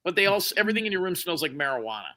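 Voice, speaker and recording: A man in his forties, teasing and crude, chatting on a livestream with loud outbursts. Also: sits high, big pitch swings, rapid-fire. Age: 40s. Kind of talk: crude joking chat on a livestream.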